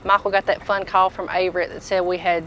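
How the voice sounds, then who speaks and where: A woman's voice: fairly low pitch, little pitch movement, quick pace, fairly bright tone, a little hiss or hum, low-quality recording. A woman, casually showing products as a tool dealer inside a packed truck. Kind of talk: casual product walk-through chat.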